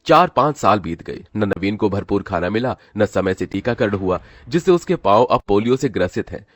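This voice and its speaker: A man narrates a dramatic scripted story in a fairly low voice. He works as the storyteller, and the recording is very dry, with no room sound. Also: expressive, normal speed, dry recording.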